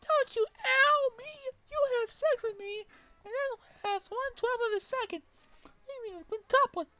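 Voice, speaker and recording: A man voicing an enraged female hedgehog, scolding in a shrill falsetto rant. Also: a little slow, bright, forceful tone, dry recording, heavily acted. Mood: shrill furious.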